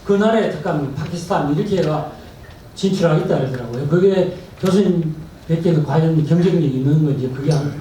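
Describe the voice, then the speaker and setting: A man's voice: fairly high pitch, a little fast, warm tone. A man in the audience asking a long, earnest question at a forum. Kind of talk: question from the floor. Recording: echoey hall, speaker far from mic, faint noise.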